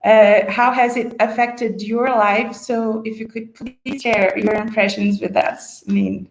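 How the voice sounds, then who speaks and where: A woman with a fairly high voice moderates a panel, feeling her way through long questions with many pauses and fillers. Her voice carries the echo of a roomy space. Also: steady tone, slight background noise.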